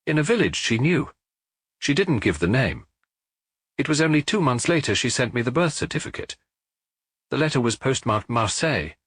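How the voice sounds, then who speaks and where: A man's voice: mid-range voice, very animated, a bit bright, breathy edge, no room sound, studio quality, voice pushed up. Man playing a wheezing, broken French industrialist. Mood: pained, resigned. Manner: halting, defensive answers. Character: broken middle-aged French industrialist, defensive, distraught, proud.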